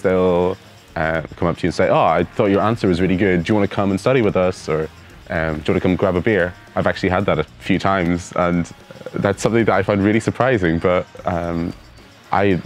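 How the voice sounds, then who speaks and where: A man's voice: low-pitched, fairly quick, faint music behind. A young man with a Northern Irish accent, an exchange student answering interview questions enthusiastically in an outdoor courtyard on a lapel mic. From Northern Ireland. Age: young adult. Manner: enthusiastic, repeats words.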